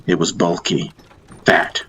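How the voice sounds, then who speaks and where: A man narrating a first-person fantasy audiobook in deliberate, dramatic short phrases. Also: low voice, monotone, fairly bright tone, slightly rough. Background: music.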